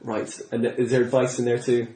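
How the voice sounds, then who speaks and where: Halting male interviewer in an echoey room. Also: mid-range voice, completely flat delivery, warm tone. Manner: halting, rambling questions. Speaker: podcast host. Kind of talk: casual interview chat.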